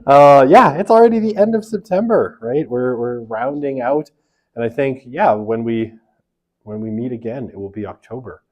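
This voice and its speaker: A man's voice: medium pitch, animated, moderate pace. A man teaching a university sociology lecture in a classroom through a room microphone, casual and chatty, with a big voice, lots of fillers and tag questions. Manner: self-described big, loud voice. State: half asleep from early rising. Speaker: sociology instructor.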